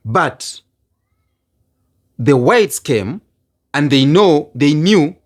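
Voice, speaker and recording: A man arguing his point in a casual debate chat, insistent and animated. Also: fairly high pitch, very slow. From Kenya. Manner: insistent, repeats "let me tell you", animated.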